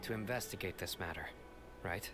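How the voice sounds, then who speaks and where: A stern, guarded investigator, played by a man who speaks tersely and deliberately. His voice sits lowish. Game music plays clearly behind him. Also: natural voice.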